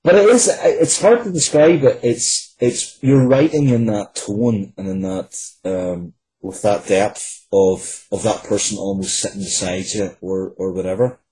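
Male interview guest, very husky and somewhat mellow, earnest, conversational, repeats himself for emphasis. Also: on the low side, a little slow, rough recording. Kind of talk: casual interview talk.

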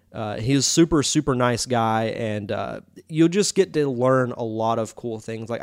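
A man's voice: medium pitch, darkish tone, smooth, dry recording, studio quality. A man hosting his podcast, talking in a chatty, enthusiastic way while congested with a sore throat. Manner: chatty, enthusiastic, with many fillers. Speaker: podcast host. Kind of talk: casual podcast monologue.

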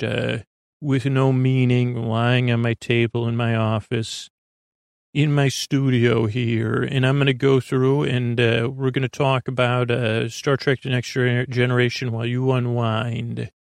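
A man with a mid-pitched voice and a gravelly, fry-laden edge, rambling through a bedtime monologue. He halts, restarts and meanders, and the sound is close and dry with no room around it. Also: no echo. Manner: halting, meandering, with many fillers and restarts. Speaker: podcast host. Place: small studio.

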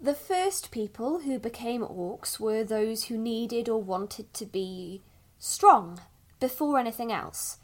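A woman playing a patient, gentle mother in a fantasy audio drama, explaining things carefully to her young child. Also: on the high side, lively intonation. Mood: thoughtful, explaining. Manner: careful, measured explaining with thoughtful hesitations.

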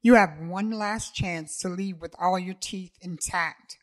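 A woman playing a firm possessive lover. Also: slow pace. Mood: low, firm. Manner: low, firm, commanding. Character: possessive intense young man, the lover.

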